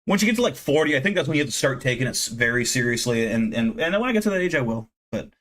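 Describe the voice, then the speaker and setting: A man's voice: mid-range voice, sing-song, racing, a bit bright. Rambling, profane man in his thirties. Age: 30s. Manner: rambling, profane, self-deprecating. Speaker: streamer. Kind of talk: casual live-stream chat with viewers.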